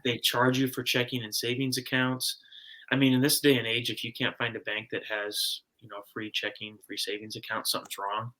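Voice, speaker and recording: A man's voice: medium pitch, little pitch movement, fairly quick, pushed and bright, poor audio. A man from the United States, an interview guest, explaining and advising in a casual, plainspoken way. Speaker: guest, personal finance author.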